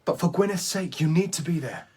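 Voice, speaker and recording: A man's voice: a little high, a little fast, darkish tone, breathy edge. A man playing an earnest, troubled young doctor in a radio drama, polite but pressing. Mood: worried, pleading. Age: young adult.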